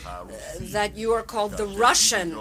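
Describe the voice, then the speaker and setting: A woman giving a live interpretation of a conference interview, with a measured, halting delivery and pauses as she keeps up. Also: medium pitch, fairly even intonation, sharp tone. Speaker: simultaneous interpreter.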